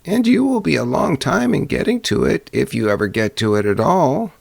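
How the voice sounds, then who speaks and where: Man putting on an aged crone, pitched high and singsong. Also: sing-song, moderate pace, creaky, breathy edge, dry recording, heavily acted. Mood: helpful, instructing. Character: aged mountain crone, helpful, fairy-tale old woman.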